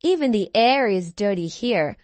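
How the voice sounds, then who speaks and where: Woman as an earnest little storybook pig, lively pitch. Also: normal speed, no room sound, natural voice. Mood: hopeful. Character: small pig, earnest little mud lover. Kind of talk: read-aloud children's storybook.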